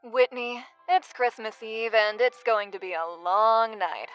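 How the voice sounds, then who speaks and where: A woman's voice: on the high side, fairly even intonation, unhurried, a bit bright, clean tone, faint music behind, rough recording. A woman playing a female hospital worker in a scripted TV sitcom parody. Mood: matter-of-fact. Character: female hospital sitcom colleague delivering setup lines.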